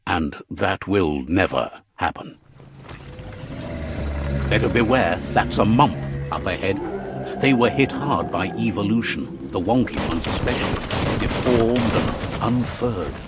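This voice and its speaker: Lively, whimsical storybook narrator. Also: male voice, low-pitched, measured pace, very noisy. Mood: wry, solemn. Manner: whimsical, theatrical, philosophical storyteller. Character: whimsical, wise male storyteller of an animal fable. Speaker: storybook narrator of a video game. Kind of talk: scripted fable-like narration.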